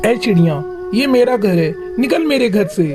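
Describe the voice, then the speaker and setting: A woman voicing a bossy but soft-hearted male crow in a children's moral-story cartoon. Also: on the high side, lively intonation, normal speed, lightly acted. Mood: demanding. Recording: music clearly behind the voice.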